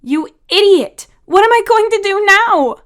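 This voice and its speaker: A woman's voice: very high voice, animated, unhurried, fairly bright tone, voice pushed up, full character voice. A woman voicing a bossy, hot-tempered teenage sister in a story, snappy, whiny and sarcastic. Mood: exasperated.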